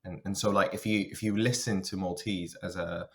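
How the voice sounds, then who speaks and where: Low-voiced man chatting as podcast guest. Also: fairly even intonation, fairly quick, fairly bright tone. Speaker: podcast guest, software engineer and linguist. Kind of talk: casual conversational interview, telling own career story.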